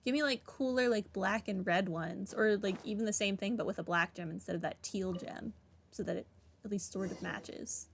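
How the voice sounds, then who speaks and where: A woman's voice: mid-range voice, normal speed, gravelly, a little hiss or hum. A woman giving casual playthrough commentary as a gaming host, rambling and dryly sardonic. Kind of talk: casual playthrough commentary, reading dialogue aloud.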